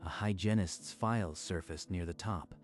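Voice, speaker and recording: Deep-voiced male narrator, steady storytelling with music underneath. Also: moderate pace.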